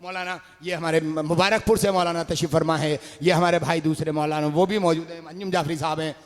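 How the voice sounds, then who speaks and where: A man with an Urdu accent delivering a majlis sermon, rising to shouts and sung phrases as he calls out to the gathering. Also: on the high side, steady tone, fairly quick. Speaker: majlis orator. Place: large religious gathering hall. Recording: long hall reverb.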